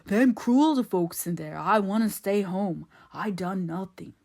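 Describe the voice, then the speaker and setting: A woman voicing a frightened, simple-minded, childlike country man in an audiobook, with halting rustic speech. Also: medium pitch, vocal fry, darkish tone. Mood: frightened, pleading.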